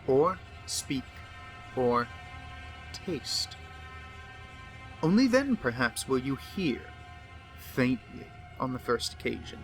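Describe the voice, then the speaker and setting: A man plays a pompous, unhinged male diarist of the occult, full of scorn for humanity. He speaks very slowly and theatrically at a medium pitch, lingering on words as horror narration. Prominent music runs behind the voice. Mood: ominous, warning. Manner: theatrical, slow and deliberate, lingering on words, dropping to whispers. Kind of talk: dramatic horror story narration.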